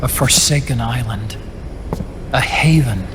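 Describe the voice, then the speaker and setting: A man's voice: medium pitch, a little slow, noisy background, natural voice. An actor playing an earnest, pensive male tech expert in a video game, speaking hesitantly. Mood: somber, pensive. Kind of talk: cinematic video game dialogue.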